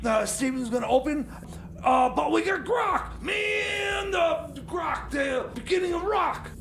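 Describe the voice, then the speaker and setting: A man co-hosting a radio show with excitable, stammering comedy banter. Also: extremely high pitch, slow, gravelly, shouting, a faint crowd, slight background noise.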